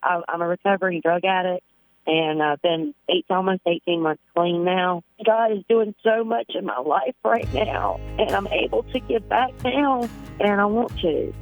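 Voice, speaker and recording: A young woman calling in to a radio show, speaking in a halting, earnest, hesitant way. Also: on the low side, dry recording, soft background music, rough recording. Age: young adult. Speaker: caller.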